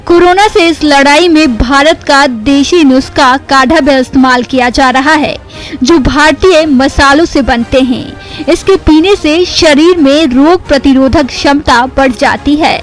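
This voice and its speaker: Slow, high-pitched female news narrator. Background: faint background music.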